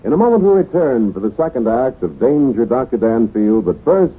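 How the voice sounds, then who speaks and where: A man's voice: medium pitch, very animated, fairly quick, a little hiss or hum. A man announcing a radio drama in a formal 1940s broadcast style. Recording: tinny vintage radio broadcast. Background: music.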